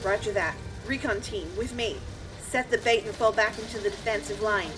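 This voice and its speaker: A woman playing a steady, protective military squad leader in a sci-fi radio drama, with clipped phrasing and a cool head. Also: mid-range voice, moderate pace, lightly acted. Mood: tense command. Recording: loud music over the voice.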